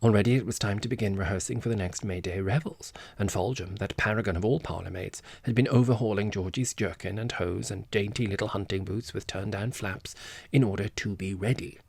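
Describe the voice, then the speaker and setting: A man's voice: lowish voice, fairly quick, vocal fry, darkish tone, husky, no echo. A man reading literary fiction as a scripted audiobook narration.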